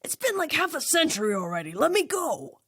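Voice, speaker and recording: A woman's voice: high voice, very animated, brisk, a bit bright, no echo, clean studio sound, pitched above the performer's own voice, full character voice. A woman voicing a cheeky, wheedling male poltergeist in an audio story, with a sly, slangy drawl. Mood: pleading. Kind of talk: fan fiction audio story character voice.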